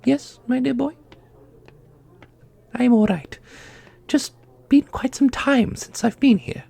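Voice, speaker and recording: A woman playing an ancient female custodian in a fantasy audio drama, dignified and sorrowful, speaking in a formal, measured, gentle way. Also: sits high, vocal fry, slightly rough, full character voice. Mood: tender.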